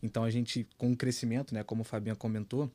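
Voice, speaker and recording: Relaxed chatty man on a panel. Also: medium pitch, fast talker. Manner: relaxed, chatty, many fillers. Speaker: guest. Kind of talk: casual panel discussion.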